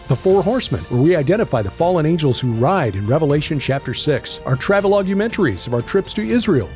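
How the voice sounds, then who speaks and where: A man's voice: a little high, a little mellow. A man reading a scripted store promotion with an upbeat, emphatic sales delivery. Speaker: ad announcer. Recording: faint music behind. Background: music bed.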